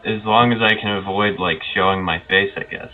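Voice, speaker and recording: A man's voice: lowish voice, fairly even intonation, fairly quick, far from the mic, phone-quality sound. A man playing a tabletop roleplaying game, casually saying what his character does. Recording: off mic, music behind.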